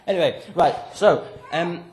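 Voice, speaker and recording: A young man talks to a youth group in a small church hall, holding a handheld mic. His voice sits a little high, and he keeps a playful, joking tone like a game-show host. A noticeable hiss and room noise run underneath. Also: unhurried, a little mellow. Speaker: youth leader. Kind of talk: lively talk to a youth group. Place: small church hall with stage and drum kit.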